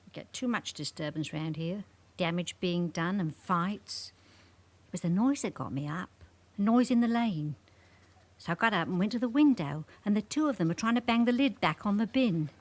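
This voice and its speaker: A woman's voice: medium pitch, lively intonation, normal speed, darkish tone, close to an everyday voice. Female neighbourhood witness, radio play: emphatic, gossipy, indignant yet fearful. Actress. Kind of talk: naturalistic radio drama acting.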